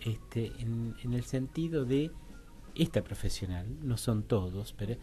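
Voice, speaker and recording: Guest expert, male, Rioplatense Argentine accent, halting and thoughtful, medium pitch, faint music behind. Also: lively intonation, warm tone. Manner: halting, reflective, full of checking tags. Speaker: guest professional working in health and diversity. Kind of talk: radio panel discussion.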